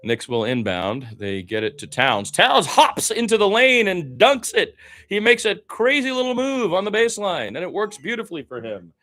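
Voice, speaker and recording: Excitable man calling live basketball. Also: normal speed. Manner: excitable, swinging from low asides to loud calls; informal, opinionated, mildly profane. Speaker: basketball play-by-play commentator. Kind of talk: live radio play-by-play commentary.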